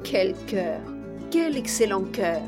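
A woman voicing a young marquise, an indulgent mother, fond and gently chiding, in an audiobook. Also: medium pitch, very animated, slow pace, over music. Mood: doting. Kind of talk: audiobook character voice.

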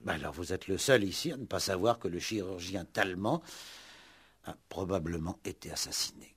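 A man's voice: deep voice, normal speed, husky. A man playing a wry, insistent police commissioner in a 1960s French radio play, probing with mock politeness and pointed repetition. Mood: ironic, probing.

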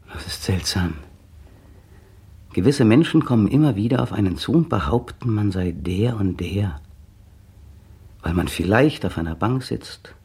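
A brooding, bitter monologuist. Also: male voice, medium pitch, gravelly, husky, heavily acted. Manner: brooding, bitter, precise diction. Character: tense bitter man brooding on his partner. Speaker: male narrator of a radio play. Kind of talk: 1960 radio drama inner monologue.

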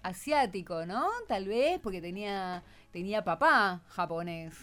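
A woman's voice: mid-range voice, lively intonation, unhurried, fairly bright tone, faint music behind. A woman with an Argentine accent hosting an informal radio chat with schoolchildren, prompting with short questions and echoed answers. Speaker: radio host.

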